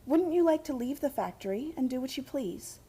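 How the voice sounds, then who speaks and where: A woman's voice: high-pitched, animated, brisk, warm tone, slightly rough, heavily acted. A woman playing an earnest, headstrong young heiress of a factory family in a radio play, speaking insistently. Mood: gentle, coaxing. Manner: earnest, insistent, pleading to commanding.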